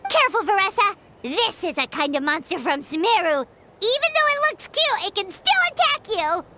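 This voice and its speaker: A woman voicing a tiny, childlike game companion, bossy and excitable, with a chirpy, exclamatory delivery. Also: very high-pitched, normal speed, heavy background noise. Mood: excited, alert. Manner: chirpy, exclamatory, refers to self by name. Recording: loud background game music.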